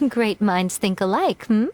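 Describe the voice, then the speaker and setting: A woman's voice: mid-range voice, a little hiss or hum. A woman playing a sly, self-assured shrine maiden in a video game, with a playful, wry and coy delivery over music. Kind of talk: scripted video game voice acting.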